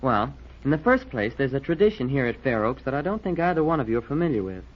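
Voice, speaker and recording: Fast-talking, keen young cadet. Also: male voice, mid-range voice, big pitch swings. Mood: keen, insistent. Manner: boyish, emphatic, explanatory. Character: keen, earnest young male cadet named Lee. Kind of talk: old-time radio drama acting. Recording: muffled vintage radio recording.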